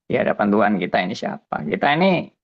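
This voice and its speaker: A pastor in his seventies giving a slow, musing spoken testimony, often tagging his phrases with a soft "ya". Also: lowish voice, very animated, highs cut off. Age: 70s.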